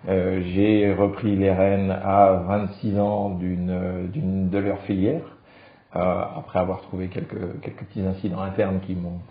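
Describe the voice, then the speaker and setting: A man in his forties from France, speaking low and unhurried as a podcast guest. He talks through his career with plenty of fillers and restarts. The sound is a little dull and echoes in the room. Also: flat delivery. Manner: hesitant, many fillers and restarts, light chuckles. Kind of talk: relaxed conversational interview.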